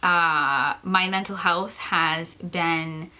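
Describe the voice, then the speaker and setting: Young female vlogger, bright tone, nasal from congestion, slightly breathless, loose chatty delivery full of "like" and "um". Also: fairly low pitch, fairly even intonation, very slow. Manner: chatty, rambling with frequent "like" and "um"; laughs often between phrases. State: stuffy, congested nose; short of breath. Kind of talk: casual chat to camera.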